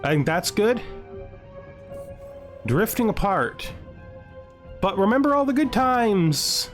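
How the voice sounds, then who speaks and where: A man's voice: high voice, sing-song, slow pace. A man giving casual, jokey let's-play commentary on a strategy game, thinking aloud and often putting questions to himself. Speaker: gaming video host. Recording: background music under the voice. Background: music.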